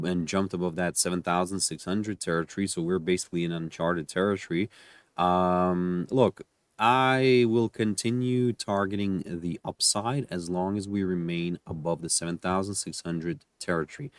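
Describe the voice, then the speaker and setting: A man with a cold giving unscripted live market commentary as an analyst, halting and often repeating his words. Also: low-pitched, dry recording. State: voice deepened by a cold.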